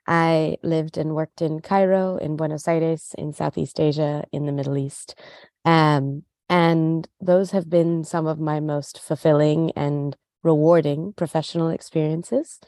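Low, mellow-voiced American woman. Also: steady tone, no room sound. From United States. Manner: measured, articulate. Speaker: student council co-president. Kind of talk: interview answers.

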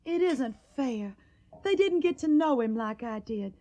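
A woman's voice: high-pitched, expressive, a little slow, mellow. An actress playing a tender, anxious young woman in love with a Southern US accent, in a radio drama. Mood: wistful, defensive.

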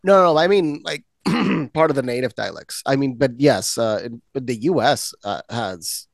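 Podcast host, man, expressive and emphatic, dry room. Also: medium pitch, normal speed, vocal fry, no room sound. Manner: animated, emphatic, talks over others. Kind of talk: casual chat.

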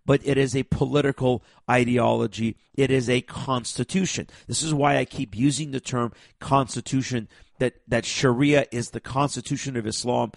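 A man with a raspy voice from a cold, hosting a program and talking forcefully to viewers, with heavy stress and stammered restarts. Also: medium pitch, no echo, clean studio sound. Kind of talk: emphatic monologue to viewers.

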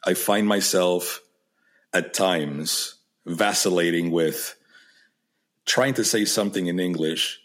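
Deep voiced podcast host guy, spanish is his first language, stops and restarts a lot. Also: a bit bright. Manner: halting, repeats words while searching for them. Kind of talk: conversational podcast interview.

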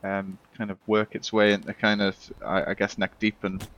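A man's voice: lowish voice, completely flat delivery, moderate pace, a bit bright, highs cut off. A man co-hosting a podcast, asking questions hesitantly with many fillers. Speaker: podcast co-host. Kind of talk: casual interview chat.

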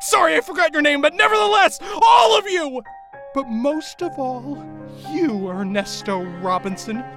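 A woman's voice: high voice, expressive, a little slow, vocal fry, heavily acted. A woman playing a grandiose, foul-mouthed fashion designer with a haughty, berating, theatrical delivery. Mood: furious, vengeful. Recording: music behind.

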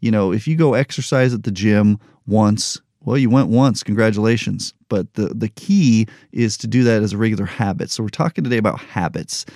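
A man's voice: lowish voice, fairly quick, hardly any pauses, a little mellow, dry recording, clean studio sound. A man in his forties hosting a motivational podcast, talking candidly and unscripted with a joking touch. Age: 40s. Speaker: podcast host. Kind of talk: solo motivational podcast monologue.

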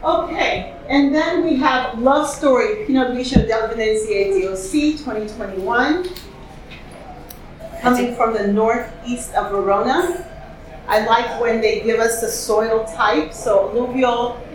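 An enthusiastic woman leads a wine tasting, chatting and asking the group what they notice. Her voice sits a touch high. The room sounds echoey, with a faint hum behind. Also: slow pace. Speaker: host leading a wine tasting. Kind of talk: casual tasting chat.

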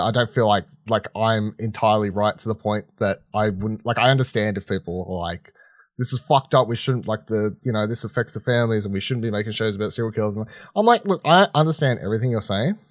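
A man's voice: lowish voice, quick pace, no room sound, studio quality. A man co-hosting a podcast with casual, opinionated banter, informal and slangy in how he talks. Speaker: podcast co-host.

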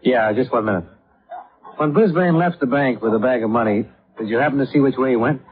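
Actor playing a fast talking private investigator guy, matter of fact, muffled old time radio. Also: mid-range voice, natural voice. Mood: probing, brisk. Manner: terse, probing, matter-of-fact. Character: brisk, methodical male insurance investigator and narrator. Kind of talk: 1950s radio detective acting. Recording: muffled, narrow-band vintage radio recording.

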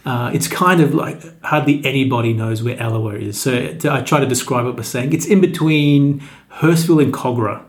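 A man's voice: mid-range voice, fairly quick. A man, a pastor chatting as a podcast guest in a friendly, easygoing way. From Australia. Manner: friendly, easygoing, with frequent restarts. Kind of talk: casual interview.

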